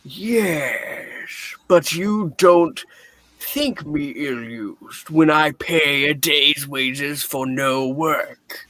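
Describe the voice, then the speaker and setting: A man's voice: fairly high pitch, very slow, bright, forceful tone, dry recording, exaggerated voice. A man playing a grumpy, miserly old businessman, curt and scornful, in a theatrical radio play reading. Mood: grudging, sour.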